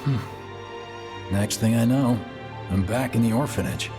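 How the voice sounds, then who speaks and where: An actor playing a gruff, weathered man in a video game, telling a story in a measured, dramatic way. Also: medium pitch, lightly acted. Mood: brooding, awed. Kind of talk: video game cutscene acting. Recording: music under the voice.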